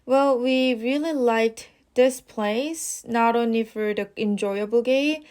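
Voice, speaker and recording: Slow, measured young woman. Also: a little high, a little mellow. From South Korea. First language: Korean. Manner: reads each question, then answers with rehearsed, casual fillers. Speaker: IELTS practice test speaker. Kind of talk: model answers for a speaking exam.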